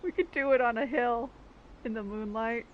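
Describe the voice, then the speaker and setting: High-voiced woman giving joking game commentary. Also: normal speed, lo-fi sound, a crowd in the background. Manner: joking, acts out mock dialogue. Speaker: video game streamer. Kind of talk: casual playful gameplay commentary. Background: game music.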